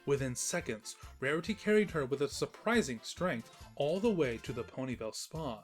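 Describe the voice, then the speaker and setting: A man playing a snarky, defensive invisible narrator of a comic pony story, reading in a wry, expressive storytelling manner. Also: medium pitch, normal speed, soft background music, lightly acted. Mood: narrating. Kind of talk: scripted narration of comic fan fiction.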